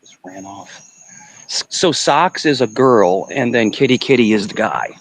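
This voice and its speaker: A man chatting casually on a livestream, excitable and repeating his words and phrases. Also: mid-range voice, unhurried, no room sound, rough recording.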